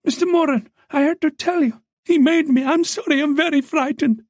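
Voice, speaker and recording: A man's voice: extremely high pitch, moderate pace, darkish tone, no echo, clean studio sound, pitched above the performer's own voice. A man voicing a frightened young woman who apologizes and pleads as she tells her story.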